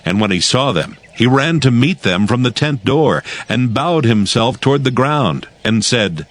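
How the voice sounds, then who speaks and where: A man narrating scripture from a script in a measured, formal manner. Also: medium pitch, expressive.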